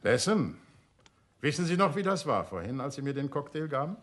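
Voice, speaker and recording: An actor playing an urbane gentleman detective in a radio play, suave, polite and self-assured. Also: fairly high pitch, big pitch swings, brisk.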